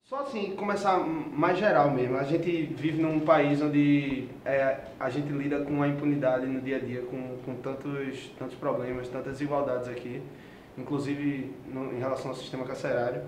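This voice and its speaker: A man's voice: medium pitch, steady tone, normal speed, a little mellow, echoey room, faint music behind, poor audio. A man, moderating a panel debate earnestly, often restarting his sentences. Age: 20s. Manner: earnest, restarts and trailing phrases.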